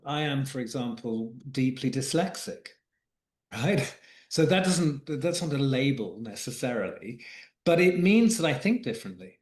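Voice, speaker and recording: A man's voice: medium pitch, big pitch swings, normal speed. Reflective man coach, roomy sound. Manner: reflective, repeats words as he thinks. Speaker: guest, executive coach and author. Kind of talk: podcast interview conversation.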